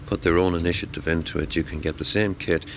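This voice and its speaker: A man's voice: deep voice, steady tone, quick pace, background noise. A middle-aged man who tutors amateur radio classes, talking at a convention in a hesitant way, with many fillers and repeated words. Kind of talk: conversational interview.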